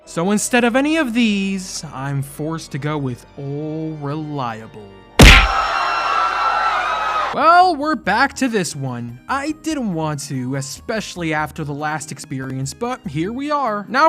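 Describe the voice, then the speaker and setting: A YouTube host giving scripted comedic commentary in an exasperated, sarcastic manner that breaks into mock outrage. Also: medium pitch.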